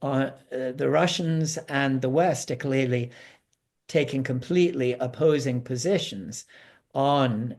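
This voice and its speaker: A man with a mid-pitched voice talks as a guest analyst on a panel. His delivery is very slow and halting, with repeated words and hesitations as he explains things informally. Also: darkish tone.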